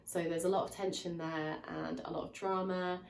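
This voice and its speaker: A woman's voice: lowish voice, level tone, normal speed, reverberant, distant mic. A woman reviewing a book to her viewers in a chatty way, sounding slightly nasal and stuffed up from a lingering cold. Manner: chatty, recaps plots with frequent "um" and "kind of". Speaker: book reviewer and channel host. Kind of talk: casual spoiler-filled book review to camera.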